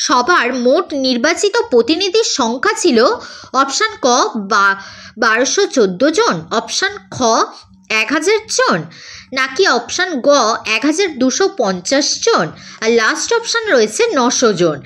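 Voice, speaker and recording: A woman's voice: high voice, very animated, a little slow, fairly bright tone. A woman teacher reading out quiz questions and options in a methodical, scripted lesson style with a sing-song listing intonation. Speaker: teacher presenting exam questions.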